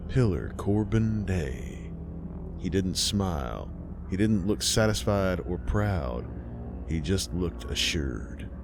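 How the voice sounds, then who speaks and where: Deep, slow-talking male narrator with a folksy fireside manner. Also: big pitch swings, gravelly, noisy background. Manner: folksy, unhurried, addresses the listener directly. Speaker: storyteller host. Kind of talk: horror story narration.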